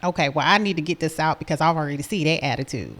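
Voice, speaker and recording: A woman's voice: deep voice, fast, a bit bright. A woman co-hosting a podcast in a casual, easygoing way, answering her partner with frequent affirming murmurs. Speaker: podcast co-host. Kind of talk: casual chat.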